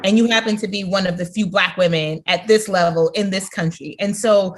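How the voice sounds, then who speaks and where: A woman's voice: medium pitch, steady tone, a little fast. A woman interviews a guest as a podcast host. Her voice sits in a medium register. She talks informally, building long questions and checking in along the way. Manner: informal, often checks in with "right?". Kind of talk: casual conversational interviewing.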